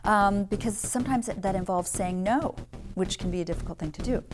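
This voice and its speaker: A woman's voice: medium pitch, fairly quick, over music. A woman life coach giving frank, emphatic advice in an interview. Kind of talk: interview answers.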